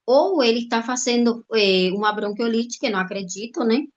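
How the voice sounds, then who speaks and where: A woman with a Cuban accent, a native Spanish speaker, giving an informal testimonial haltingly, with frequent check-in tags. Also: fairly high pitch. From Cuba. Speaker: guest speaker, doctor. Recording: dull lo-fi online-call audio.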